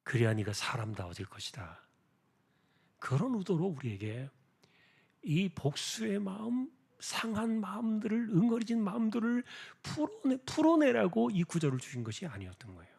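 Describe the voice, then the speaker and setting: A man preaching an expository sermon as a pastor, explaining deliberately with rhetorical questions and a husky voice. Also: high voice, big pitch swings, a little mellow. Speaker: pastor preaching at a church prayer meeting.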